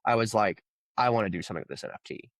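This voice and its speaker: A low-voiced man in his thirties from Oklahoma talks as a podcast guest. He rambles through his story with lots of ums and likes. The sound is dry and close. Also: rapid-fire, no echo. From Oklahoma, USA. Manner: relaxed, rambling storytelling with many fillers. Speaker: guest, crypto founder. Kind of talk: casual conversational interview.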